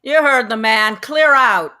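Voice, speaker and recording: A woman's voice: on the high side, unhurried, pushed and bright. A woman playing a blunt, nervous but bossy male construction foreman in a screenplay table read. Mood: barking orders.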